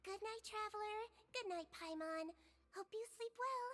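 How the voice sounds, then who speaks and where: A caring, girlish head nurse from a video game. The voice is extremely high and small. The manner is polite and reassuring, like a gentle bedside check-up. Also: unhurried. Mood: warm. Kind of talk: video game character acting.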